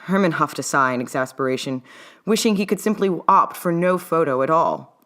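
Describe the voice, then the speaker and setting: A woman's voice: sits low, lively intonation, brisk, a bit bright. A woman author reading her own story aloud in an old-timey mid-Atlantic accent, in the style of an audiobook. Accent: mid-Atlantic, old-timey English-leaning. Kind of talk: audiobook-style narration of fan fiction.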